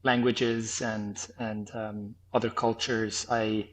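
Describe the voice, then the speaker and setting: A man in his thirties from Switzerland, speaking with a Swiss accent that carries a faint trace of New Zealand, answering interview questions thoughtfully, with many restarts and pauses. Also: on the low side, flat delivery. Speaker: podcast guest, former health tech startup founder.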